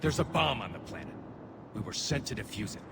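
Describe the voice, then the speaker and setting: A man's voice: medium pitch, animated, fairly quick, creaky. A man playing a burdened, dutiful young alien military officer in a sci-fi game, speaking earnestly and formally. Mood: defeated, regretful. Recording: loud vehicle and battlefield noise behind.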